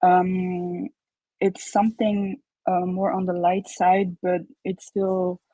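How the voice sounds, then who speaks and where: A woman's voice: on the low side, level tone, slow pace, dark tone, dry recording, poor audio. A young woman from Colombia, a native Spanish speaker with a Colombian accent, telling her story as a caller on a live stream, hesitant with many ums. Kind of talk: casual storytelling.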